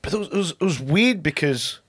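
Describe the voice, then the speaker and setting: A man co-hosting a sports podcast, a bit nasal from a cold, talking in a rambling, relaxed way. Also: on the high side, brisk, husky, no room sound, studio quality. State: stuffy nose from a cold. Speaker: podcast co-host. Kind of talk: casual sports chat.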